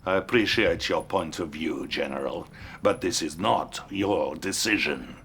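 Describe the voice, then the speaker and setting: An actor playing a courteous, authoritative Soviet political leader with a Russian accent in a game cutscene. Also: male voice, deep voice, slight background noise. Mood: coolly firm. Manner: measured, courteous, quietly commanding. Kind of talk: dramatic video game cutscene acting.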